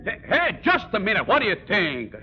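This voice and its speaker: An actor playing an excitable, friendly but blustering Italian immigrant with muddled broken English, in 1940s radio comedy style. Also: male voice, sits high, fairly quick. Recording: dull old radio recording, faint noise.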